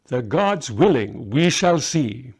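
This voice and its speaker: A man voicing an aging, half-blind wise male scholar with a musing, gently ironic manner in a story reading. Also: medium pitch, sing-song, takes it slowly, creaky, husky, heavily acted. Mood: wry. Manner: musing, questioning.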